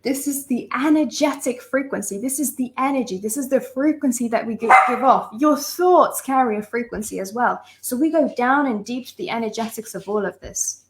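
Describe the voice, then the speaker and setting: A woman's voice: on the high side, moderate pace, hardly any pauses, a little hiss or hum. A young adult woman from Lithuania, a relationship coach giving a live online masterclass, talking with emphatic, impassioned repetition and calling listeners her loves. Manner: emphatic, impassioned delivery with lists of repeated phrases; addresses listeners warmly as sisters and loves.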